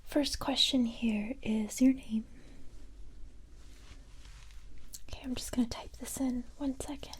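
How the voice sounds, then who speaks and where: A woman voicing a calm, sympathetic female special-forces recruiter in a soft-spoken ASMR roleplay, gentle and reassuring in a breathy whisper. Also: a little high, normal speed, a little hiss or hum, close to an everyday voice. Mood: calm, businesslike. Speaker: ASMR creator playing a roleplay character.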